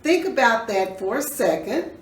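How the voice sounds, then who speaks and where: A woman reading a children's story aloud in an expressive, teacherly way, pausing to put questions to her listeners. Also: on the high side. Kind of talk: dramatic read-aloud with questions to young listeners. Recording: roomy echo, distant mic, faint noise.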